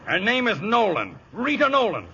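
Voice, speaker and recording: An actor playing a menacing, bossy gangster boss in a 1930s radio drama, with a gruff, husky voice. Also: male voice, high voice, huge pitch swings, normal speed, bright, forceful tone, full character voice. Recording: dull 1930s radio broadcast.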